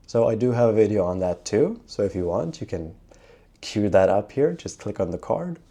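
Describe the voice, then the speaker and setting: A young man from Sweden talking casually to camera in a travel vlog, chatty and relaxed, with frequent filler sounds. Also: lowish voice, husky. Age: young adult. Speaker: travel vlogger.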